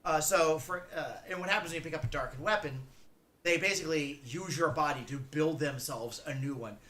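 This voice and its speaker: A man giving casual reaction commentary on game lore, informal and halting as he searches for names. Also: on the high side, sharp tone. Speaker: reactor, drag performer and cosplayer.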